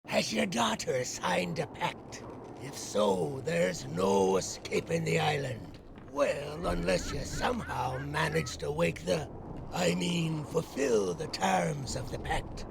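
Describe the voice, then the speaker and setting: An actor playing a scruffy, bargaining pirate castaway in a video game, with a gravelly voice and old-fashioned pirate dialect. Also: male voice, fairly high pitch, animated, slow pace, background noise, heavily acted. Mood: warning, helpful. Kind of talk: video game character acting.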